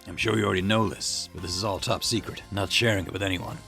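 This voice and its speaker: A man voicing a gruff, impatient older police detective in a visual novel, with curt, weary, grumbling delivery. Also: low-pitched, fairly even intonation, fast talker, pushed and bright, exaggerated voice. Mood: guarded, serious. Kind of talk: acted visual novel dialogue. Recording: music behind the voice.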